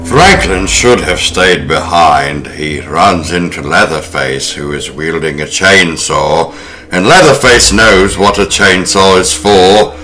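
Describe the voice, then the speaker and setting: A man's voice: deep voice, slow pace, pushed and bright, soft background music. A man hosting a horror film podcast, speaking in a wry, sardonic way. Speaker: podcast host. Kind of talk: horror film recap and casual discussion.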